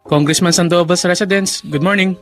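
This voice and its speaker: A woman's voice: on the low side, fairly even intonation, brisk, faint music behind, natural voice. A woman playing a feisty, protective daughter of a politician in an emphatic radio drama style. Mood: polite. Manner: emphatic, indignant. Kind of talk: Filipino radio drama acting.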